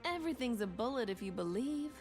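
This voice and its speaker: A woman's voice: on the high side, lively intonation, fast, loud music behind the voice, lightly acted. A woman voicing a swaggering, vengeful bounty hunter in a video game, cocky, sardonic and flirtatious.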